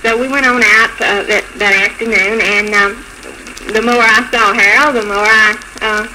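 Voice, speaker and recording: A woman telling her own story haltingly and politely as a guest in a 1940s radio interview. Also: a little high, steady tone, pushed and bright. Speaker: bride, show guest. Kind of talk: unscripted personal storytelling in interview. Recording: noisy, crackly 1940s radio recording.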